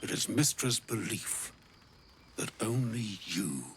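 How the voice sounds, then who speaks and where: A man's voice: lowish voice, lively intonation, a bit bright, a little hiss or hum. An actor playing an ancient, gluttonous wizard in a video game, an old man with a florid, pompous, theatrical way of talking. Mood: grave, solemn. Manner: pompous, florid, wordy, halting when uneasy. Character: ancient, gluttonous, grandiloquent old wizard, grave yet fussy.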